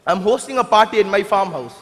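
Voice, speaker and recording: A man acts out a self-righteous, ingratiating politician with an Indian accent in a stage play. His measured voice is husky and fairly high. It carries through a reverberant hall. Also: lively intonation, a bit bright, heavily acted. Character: smooth-talking, self-righteous corrupt politician.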